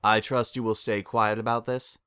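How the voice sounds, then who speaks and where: A man's voice: lowish voice, flat delivery, normal speed, pushed and bright, clean tone, no echo, clean studio sound. A man voicing a stern, imperious female pony princess of the night with formal, archaic, commanding speech. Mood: measured, regal.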